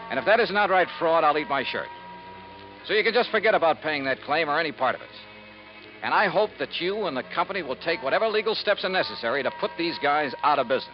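Tough insurance detective man, 50s radio drama, punchy and wry, lots of inflection, dull old recording. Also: a little high. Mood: brisk, wry. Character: tough, righteous male freelance insurance investigator. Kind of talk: 1950s radio detective acting. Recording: muffled 1950s radio recording.

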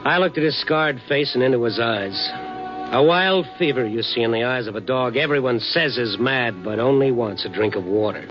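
A man's voice: medium pitch, normal speed, music in the background, close to an everyday voice. Sincere, coaxing newspaperman acted by a man, 1950s radio drama, muffled vintage recording. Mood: somber narration. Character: steady, compassionate male big-city newspaper reporter. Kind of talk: 1950s radio drama acting with first-person narration.

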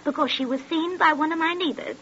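A woman's voice: high-pitched, fast talker, pushed and bright. An actress playing a talkative, defensive boarding-house landlady in a 1930s radio drama. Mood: confiding. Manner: gossipy, indignant. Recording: noisy, dull 1930s radio recording.

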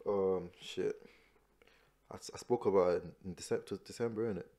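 A man's voice: deep voice, fairly even intonation, normal speed, mellow. A young man, born in Nigeria and raised in America and Nigeria, co-hosting a casual podcast chat. He stammers, repeats words and laughs often. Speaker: podcast co-host.

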